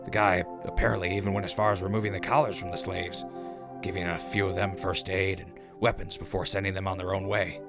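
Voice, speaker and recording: A man's voice: sits low, flat delivery, quick pace, over loud music. A man playing a wry, cheeky wasteland radio host with folksy, mock-gracious announcer patter. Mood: newsy. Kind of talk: scripted in-character radio broadcast.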